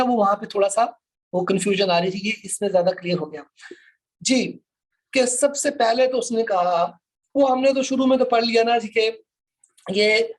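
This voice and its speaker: Higher-voiced Pakistani woman lecturing. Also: rough recording. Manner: instructive, checks in often with short tags. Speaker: law lecturer. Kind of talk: online lecture, reading statute aloud and explaining it.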